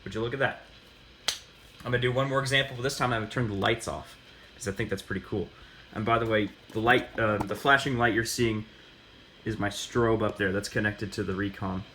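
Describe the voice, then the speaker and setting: A man giving a casual, unscripted how-to demonstration, halting and often correcting himself as he talks. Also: on the low side, steady tone, background noise. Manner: halting, self-correcting delivery. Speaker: host of a hobbyist demonstration video.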